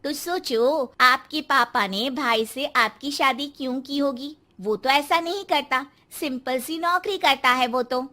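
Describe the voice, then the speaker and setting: Woman voicing a high, chirpy young sister-in-law. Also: measured pace, hardly any pauses, pushed and bright. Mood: pointed. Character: cheerful, plain-spoken young sister-in-law, proud of simple living. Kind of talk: Hindi cartoon story dialogue.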